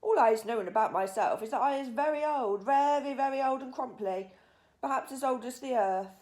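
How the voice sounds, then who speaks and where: A woman's voice: high-pitched, brisk, a little mellow, pitched above the performer's own voice, natural voice. A woman voicing a big, friendly old male giant from a children's storybook, speaking emphatically in mangled grammar with invented words. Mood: amused, assertive. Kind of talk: children's storybook character reading.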